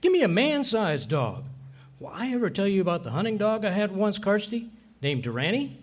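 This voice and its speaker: A man's voice: high-pitched, normal speed. A man playing a bumbling, boastful middle-aged husband who spins folksy tall tales in 1940s radio comedy style. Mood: boastful storytelling. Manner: folksy, blustering, excitable tall-tale telling. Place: live staged radio play at a hotel venue.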